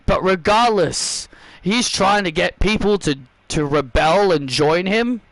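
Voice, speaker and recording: A man's voice: sits high, a little slow, vocal fry, fairly bright tone, dry recording, exaggerated voice, poor audio. Randall Gibbs, a man doing a stammering young wrestler. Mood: determined. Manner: stammering, sincere. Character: earnest young male wrestler cutting a promo.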